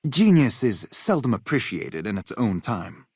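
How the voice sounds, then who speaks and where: A man's voice: medium pitch, big pitch swings, measured pace, a little mellow, breathy edge, no echo, studio quality, full character voice. A man voicing an arrogant, lazy genius soldier in a video game, pompous and pedantic in manner. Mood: smug, dismissive. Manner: pompous, condescending, pedantic wording. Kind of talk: scripted game character dialogue.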